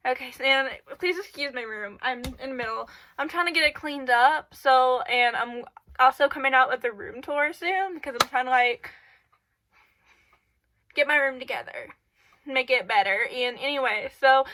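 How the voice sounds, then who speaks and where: A woman's voice: high-pitched, normal speed, pushed and bright, a little hiss or hum. A woman hosting her own channel, giving casual advice in a rambling way full of fillers, her voice stuffy and congested from a cold, recorded on a camera in her bedroom. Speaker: channel host. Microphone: camera in her room.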